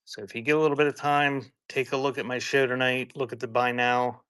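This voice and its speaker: A man's voice: medium pitch, flat delivery, brisk, a bit bright, clear, resonant tone, no room sound. A man hosting a live auction as the seller, talking in casual, rambling sales patter mixed with chatty stories. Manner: informal, rambling, conversational.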